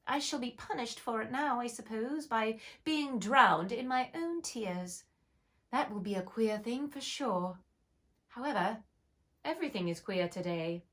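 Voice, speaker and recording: Woman reading as a storybook girl, prim, eager, chattering, lifted high and lively. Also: a little slow. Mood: rueful. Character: polite, eager young girl, chatty and curious.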